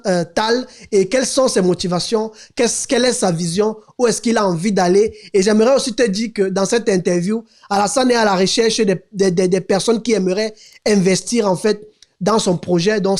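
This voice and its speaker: A man's voice: sits high, darkish tone. A male podcast host who introduces his guest and asks the questions. He often repeats words while he searches for them, and he keeps the guest going with short replies. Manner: hesitant, repeats words, many short backchannels.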